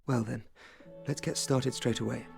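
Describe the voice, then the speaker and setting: A man's voice: medium pitch, steady tone, normal speed, creaky, faint music behind. An actor playing a polite, earnest male sorcerer in a video game, speaking in formal, courteous words. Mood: grateful, courteous. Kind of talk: video game character dialogue.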